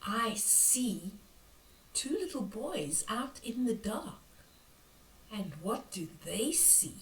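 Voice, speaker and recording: An older woman reading a picture book aloud to children, expressive and dramatic, with hushed whispers and exclamations as she comments on the pictures. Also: a little high, slow. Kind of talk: bedtime read-aloud with asides on the pictures. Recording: roomy echo, distant from the mic.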